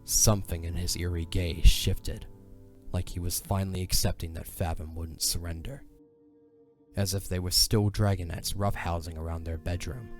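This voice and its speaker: Casting: male narrator, very low voice, solemn and measured dramatic storytelling over prominent music. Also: fairly even intonation, darkish tone.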